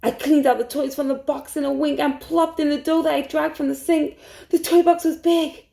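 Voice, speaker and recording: A woman storytime teacher reading a rhyming picture book aloud to young children in an expressive way. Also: sits high, normal speed, creaky. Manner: expressive, hushed suspense, asks listeners questions.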